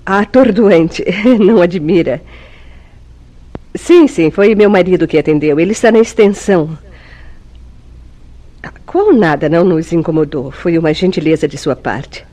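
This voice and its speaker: A woman's voice: on the low side, warm tone. A woman playing a composed, evasive young bride in a vintage Brazilian radio soap, with theatrical, formal diction.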